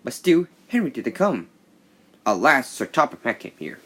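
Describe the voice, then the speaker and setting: Male storybook reader, very varied intonation, halting delivery, frequent stumbles, medium pitch. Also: sing-song, a bit bright. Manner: halting, stumbling reading with slips. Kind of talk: read-along children's story narration.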